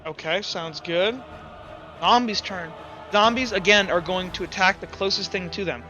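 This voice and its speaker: A man's voice: high-pitched, moderate pace, pushed and bright, highs cut off. A man acting as game master in a tabletop roleplaying game, talking casually and swearing freely. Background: background music.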